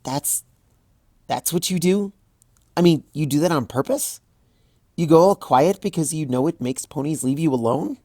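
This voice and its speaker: An anxious young unicorn mare talks things through in an earnest, worried tone, as a reader puts her on. It stays near the narrator's own voice, a touch quieter, with a dry close sound. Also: medium pitch, moderate pace, long pauses, no room sound, voice pushed up, lightly acted. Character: anxious studious young female unicorn pony.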